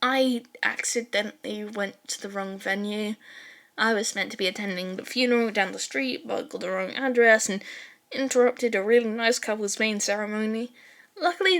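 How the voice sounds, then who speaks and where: A woman's voice: medium pitch, a bit bright, close to an everyday voice. A woman voicing a shy, sweetly innocent teenage boy in a story, hesitant and awkward in his speech. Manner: hesitant, stumbling, sheepish.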